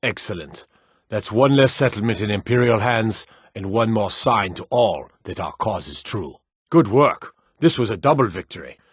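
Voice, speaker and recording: A man playing a stern, battle-hardened military officer in a fantasy video game, brisk, commanding and gruffly matter-of-fact as he gives orders. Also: on the low side, lively intonation, no echo, studio quality. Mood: approving.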